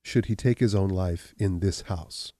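A man voicing a stern, implacable accuser who speaks in a deep, level, measured way. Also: dry recording, studio quality. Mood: questioning. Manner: calm, measured, unyielding. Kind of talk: audiobook reading.

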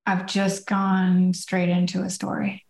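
A woman's voice: fairly low pitch, monotone, slow pace, creaky, a little mellow, echoey room. A woman co-hosting a podcast, talking unhurriedly and laughing easily, trailing off as she thinks aloud. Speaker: podcast co-host. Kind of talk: casual podcast chat.

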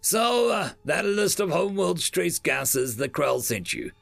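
A man's voice: high-pitched, very animated, measured pace, gravelly, fairly bright tone, no echo, soft background music, pitched above the performer's own voice, exaggerated voice. A man voicing a blunt, sardonic male military general who fires off curt questions.

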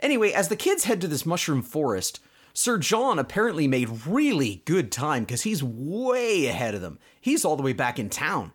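Animated man recapping a cartoon. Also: a little high, moderate pace, husky. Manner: conversational, explanatory asides. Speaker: podcast host. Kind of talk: casual recap of a cartoon episode.

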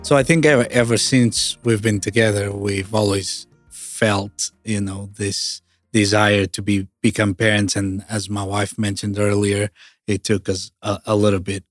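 A man in his thirties with a Portuguese accent speaks in a low, level voice and keeps stopping to restart his sentences. He is a guest telling his own story. The sound is close and dry. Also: level tone, no echo, soft background music. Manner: halting, many restarts and fillers. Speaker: Salvation Army officer, guest. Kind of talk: interview, personal story.

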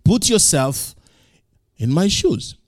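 A male pastor preaching in English, which is not his first language, building to loud emphatic peaks and repeating lines for effect. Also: high voice, big pitch swings, slow, no room sound. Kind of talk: sermon.

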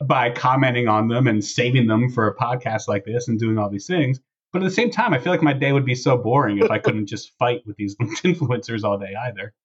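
A man's voice: medium pitch, fairly quick, few pauses, slightly rough. A man hosting a podcast as casual comedic chat among friends, with a sardonic, incredulous way of talking. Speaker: podcast host. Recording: dull lo-fi sound.

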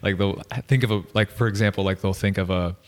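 A man's voice: sits low, steady tone, fast, long reverb tail. A young man chatting casually in a laid-back, informal way. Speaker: professional footballer. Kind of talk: casual chat.

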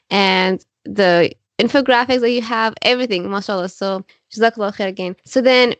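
A woman's voice: mid-range voice, no room sound. A woman fitness coach hosting her own podcast, upbeat and welcoming as she introduces and praises her guest.

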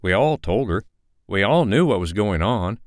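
A man's voice: fairly low pitch, expressive, normal speed, no echo, studio quality, voice pushed up, close to an everyday voice. A man voicing a fair-minded, protective male character in an audiobook, earnest and reproving. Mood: troubled, indignant.

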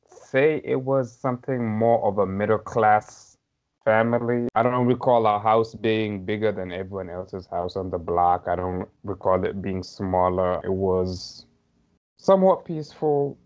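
Guest spot: man in his 30s from Somalia, lowish voice, reflective storyteller, slightly muffled lo-fi sound. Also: unhurried, darkish tone. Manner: thoughtful, hedging, with light laughs. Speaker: podcast guest. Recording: slightly dull lo-fi audio.

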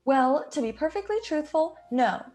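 A woman's voice: high-pitched, lively intonation, moderate pace, a little mellow, faint music behind. A woman playing an eager, polite, earnest young woman in an old-time radio play. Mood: eager, nervous.

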